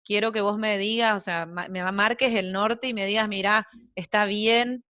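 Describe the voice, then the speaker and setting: A woman's voice: mid-range voice, fairly even intonation, moderate pace, pushed and bright, no echo, lo-fi sound. A woman with an Argentine accent, a translator being interviewed, talking in a chatty, rambling way with many fillers and asides. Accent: Argentine Spanish. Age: young adult to middle-aged, mother of young children. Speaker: translator guest. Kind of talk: casual interview chat.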